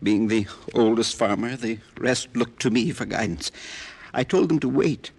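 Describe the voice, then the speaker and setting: Husky, sick, weary farmer. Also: male voice, medium pitch, darkish tone. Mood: earnest, troubled. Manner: halting, pleading, polite. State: weak and ailing, as acted. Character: sick, weary, earnest male farmer seeking help. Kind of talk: 1950s radio drama acting.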